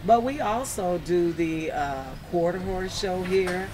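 A woman's voice: lowish voice, slow pace, noisy background. A woman speaking casually and agreeably as an interview guest in a large indoor show hall. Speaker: interview guest, satellite TV dealer. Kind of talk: casual unscripted chat. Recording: busy exhibition hall, crowd behind.

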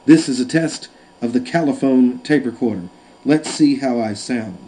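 A man's voice: medium pitch, normal speed, mellow, a little hiss or hum, rough recording. A man speaking casually in a test recording played back from cassette tape.

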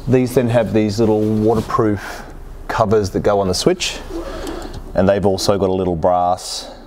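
Low-voiced man explaining a practical job. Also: a little mellow, a little hiss or hum. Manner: plain, practical, step-by-step explaining. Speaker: host of boat repair channel. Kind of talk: how-to demonstration, explaining steps. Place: workshop garage, indoors.

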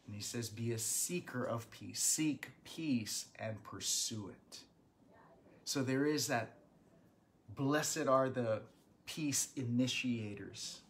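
Man, 50s, pastor, slow deliberate teaching, mid-pitched voice, webcam at home. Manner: earnest, animated hand gestures, bursts of emphasis. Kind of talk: livestreamed Bible study teaching. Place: small living room at home.

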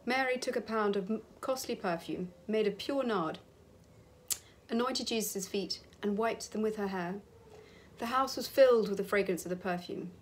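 A middle-aged woman reads scripture in a solemn, measured way with clear phrasing. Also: mid-range voice, slight background noise. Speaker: scripture reader. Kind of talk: solemn scripted Bible reading. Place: indoor room with wood panelling.

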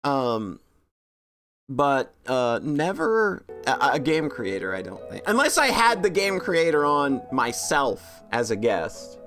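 A woman's voice: a bass voice, sing-song, slow, a bit bright, faint music behind. A woman streaming a game, chatting with viewers in a self-deprecating joking way. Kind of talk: casual game stream chat.

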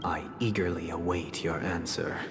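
An actor playing a calm, solemn male game villain who speaks in a formal, measured way. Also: sits low, creaky. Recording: game audio with music and long reverb.

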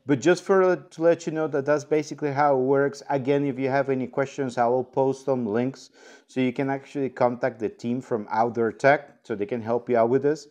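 A man with a mid-pitched voice hosts a product tutorial. He talks informally, as in a casual how-to video. He explains things one step at a time. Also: darkish tone. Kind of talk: casual instructional walkthrough.

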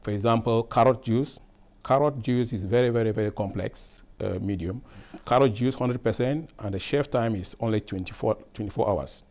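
A man's voice: fairly low pitch, moderate pace, darkish tone. A middle-aged man from Cameroon, a process engineer, talking in an interview in a lab with a lapel mic, hesitant with many fillers and restarts. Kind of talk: workplace interview. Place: lab, indoors.

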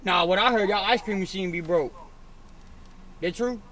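A man bantering casually and slangily in deadpan jest as he orders from inside a car. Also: sits high, moderate pace. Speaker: drive-thru customer and prankster. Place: inside a car at drive-thru. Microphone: camera in back seat. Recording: noisy car interior, engine hum.

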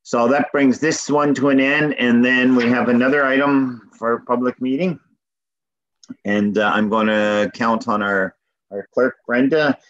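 A man's voice: medium pitch, fairly even intonation, a little slow, a bit bright. A man chairs a council meeting from home over a video call, moving through procedure item by item. His voice sits mid-range. The call audio sounds slightly muffled. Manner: procedural, repeats "going once, going twice".

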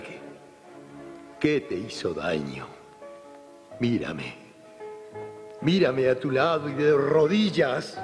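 Adoring, anguished Argentine lover, long reverb. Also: male voice, fairly low pitch, a little mellow. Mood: anguished tenderness. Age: middle-aged. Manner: impassioned, melodramatic delivery. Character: anguished, adoring male lover, tender and feverish. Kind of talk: classic radio soap opera acting. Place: TV studio radio-play stage. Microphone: large vintage studio microphone. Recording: reverberant studio sound over music.

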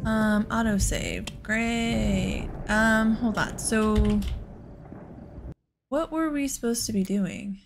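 A woman's voice: fairly high pitch, takes it slowly, creaky, soft background music. A woman giving casual let's play commentary on a video game, rambling and thinking aloud as she goes.

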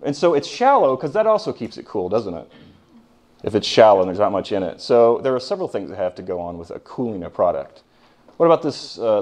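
A man's voice: fairly low pitch, darkish tone. An American man giving an informal history lecture as a professor, conversational and often putting questions to his class.

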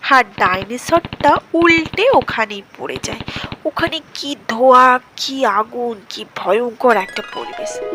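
High-pitched, expressive woman storyteller. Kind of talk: animated children's story narration. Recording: clearly noisy background.

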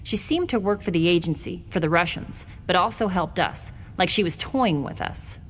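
Woman acting as self-assured young female agent, game cutscene testimony, lowish voice, long reverb, faint noise. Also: lively intonation, moderate pace, lightly acted. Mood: measured, wry. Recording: noisy, reverberant hall, game ambience.

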